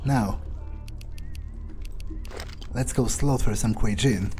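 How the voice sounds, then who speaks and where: A man voicing a cocky, vindictive vampire in a video game roleplay, halting and foul-mouthed as he taunts and threatens. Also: mid-range voice, dark tone, soft background music, natural voice. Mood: brooding, musing. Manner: halting, self-correcting, swearing, taunting.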